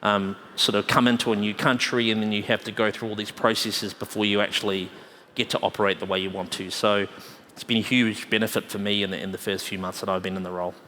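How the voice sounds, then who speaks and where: A man's voice: fairly low pitch, level tone, fast, pushed and bright. A man with a New Zealand accent speaking as an executive on a conference panel. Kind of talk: panel discussion remarks. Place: large summit hall on stage. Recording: echoey hall, background noise.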